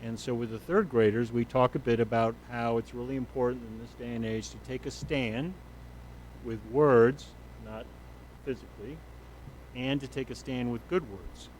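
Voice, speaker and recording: A man's voice: medium pitch, steady tone, moderate pace, darkish tone, a little hiss or hum, rough recording. Man with a big voice giving a rambling report. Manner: loose, rambling delivery with fillers and self-corrections. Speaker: school superintendent. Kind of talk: report at a board meeting.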